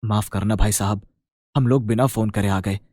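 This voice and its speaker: Cartoon story husband, troubled businessman acted by a man: deepened pitch, deferential and subdued, dry recording. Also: fairly even intonation, normal speed, dark tone, no echo, close to an everyday voice. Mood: apologetic. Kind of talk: animated moral story character dialogue.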